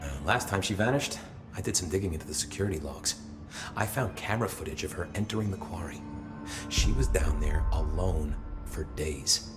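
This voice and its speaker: A man's voice: sits low, normal speed, music in the background, close to an everyday voice. A man playing a weary, wry security officer in a video game, talking in a casual, grumbling, hesitant way with dry humor. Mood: chatty, candid. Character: weary, foul-mouthed male security officer, wry and chatty. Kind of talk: naturalistic video game dialogue.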